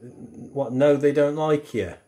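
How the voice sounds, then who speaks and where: A man's voice: fairly high pitch, slow, dark tone. Man, raised voice, quizzing an empty room. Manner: calls out questions to the room, echoing words. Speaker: paranormal investigator. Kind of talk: casual questioning.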